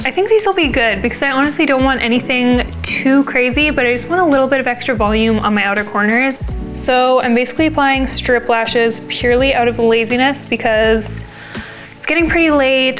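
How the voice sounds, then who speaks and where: A young woman, slightly raspy as she gets over a cold, explaining a makeup look step by step in a casual, chatty way. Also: fairly high pitch, a bit bright. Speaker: beauty vlogger.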